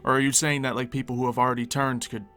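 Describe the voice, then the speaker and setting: A man's voice: medium pitch, level tone, fast, sharp tone, faint music behind, lightly acted. A man playing a level-headed, questioning survivor and confidant in an improvised zombie game roleplay, speaking conversationally. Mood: surprised, questioning.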